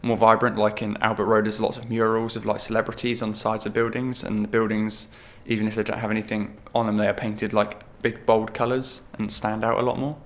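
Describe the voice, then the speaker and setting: Brief: 21-year-old man, low and flat-toned, slow testimony with plenty of fillers. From Portsmouth, England. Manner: slow, measured, with many fillers. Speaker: young film participant. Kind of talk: personal testimony for a short film.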